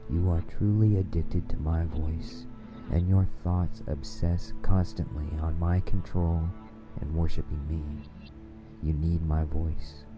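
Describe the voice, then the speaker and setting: Male dominant hypnosis narrator: very low, gravelly register, commanding and repetitive scripted delivery, music underneath. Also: fairly even intonation, takes it slowly, rough recording.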